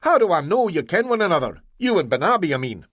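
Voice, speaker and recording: A man's voice: high-pitched, big pitch swings, fast talker, a bit bright, no room sound, clean studio sound, voice pushed up, exaggerated voice. Storybook role: a cunning Scottish engineer, dogged and wheedling, put on by a male narrator. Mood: gruff. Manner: broad dialect, stubborn and sly.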